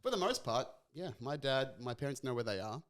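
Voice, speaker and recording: A young man with an Australian accent hosts a laid-back podcast conversation. His talk is easy and conversational, and he is quick to laugh along with his guest. His voice sits at an ordinary middle pitch. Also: clear, resonant tone. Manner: relaxed, chatty, laughs easily. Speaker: podcast host. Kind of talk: casual podcast chat.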